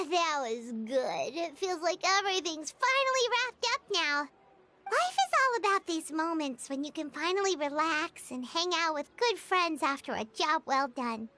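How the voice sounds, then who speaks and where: A woman voicing a tiny, cheerful fairy companion in a game, with a squeaky childlike voice and excitable manner. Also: unhurried, background noise, heavily acted. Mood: content. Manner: excitable, refers to self in third person. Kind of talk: animated game voice acting.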